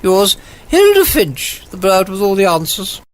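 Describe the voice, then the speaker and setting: A woman's voice: medium pitch, very animated, very noisy. A woman playing an imperious, boozy upper-class English agony aunt, pompous and theatrical, in a 1940s-set radio comedy. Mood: pompous advising. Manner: pompous, theatrical, brisk, commanding.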